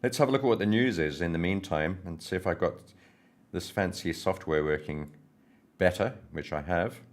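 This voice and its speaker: Man, hoarse voice, very low pitch, friendly rambling livestream host, trading news chat. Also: normal speed. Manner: friendly, greets viewers by name, rambling with fillers. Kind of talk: casual live-stream chat and market commentary.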